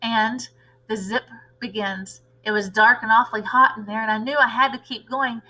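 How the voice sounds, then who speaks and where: A woman reads a children's story aloud in a fairly high, bright, forceful voice. She narrates like a teacher, expressive and dramatic, stressing the action words. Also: moderate pace, rough recording.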